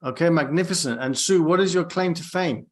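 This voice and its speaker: Guy host, kinda high voice, easygoing, stumbles on words a bit. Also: brisk. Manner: friendly, easygoing questions with repeated words. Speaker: podcast host. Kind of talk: casual interview chat.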